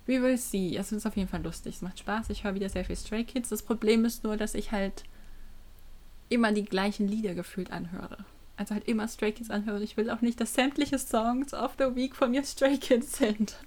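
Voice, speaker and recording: A woman's voice: mid-range voice, fairly even intonation, normal speed, darkish tone. A woman co-hosting a casual pop fan podcast, dropping English slang into her talk. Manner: peppers German with English slang. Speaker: podcast co-host. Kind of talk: casual fan chat about pop music.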